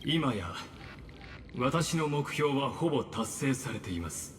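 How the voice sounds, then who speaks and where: A man voicing a calm, polite and calculating male villain in a game dub. He speaks in a formal, measured way. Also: medium pitch, a little hiss or hum, natural voice. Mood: courteous, cold. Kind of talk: Japanese game dub acting. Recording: game audio with reverb and faint music.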